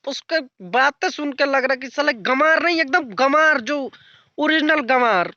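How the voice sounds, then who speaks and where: A man's voice: very high-pitched, moderate pace, pushed and bright, no echo, lo-fi sound. A young man giving an unscripted, casual commentary rant in a blunt, colloquial way with crude slang. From rural north India. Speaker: YouTube commentator.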